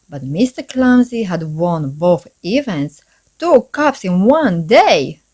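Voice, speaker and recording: A woman reading a children's story aloud in an expressive, deliberate storybook manner. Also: lowish voice.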